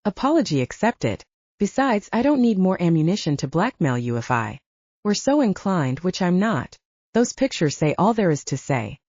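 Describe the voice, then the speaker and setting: Audiobook heroine, female narrator acting: wry, persistent young woman, animated intonation, dry and slightly dull recording. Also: on the low side, moderate pace, no room sound. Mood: conciliatory, wry. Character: plucky, argumentative young woman hiker with wry wit.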